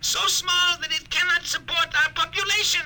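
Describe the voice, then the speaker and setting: A teeny alien doll boss, super squeaky and cold, old radio show hiss all over. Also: male voice, fairly even intonation, moderate pace. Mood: cold triumphant. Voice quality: high squeaky voice. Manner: precise, coldly triumphant. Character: tiny alien doll commander, cold and scheming. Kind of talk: old-time radio drama acting. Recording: noisy old radio recording.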